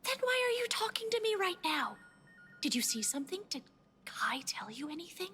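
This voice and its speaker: A woman's voice: extremely high pitch, very animated, moderate pace, bright, forceful tone, soft background music, full character voice. A woman playing a defiant, worried patient in a horror audio drama, urgent and insistent. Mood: urgent, pleading. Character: defiant, worried female patient investigating her friend's disappearance.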